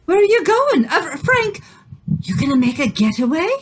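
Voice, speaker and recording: A woman's voice: extremely high pitch, big pitch swings, moderate pace, low-quality recording. A woman playing a sceptical, playful wife in a radio play, questioning and teasing. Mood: puzzled, questioning.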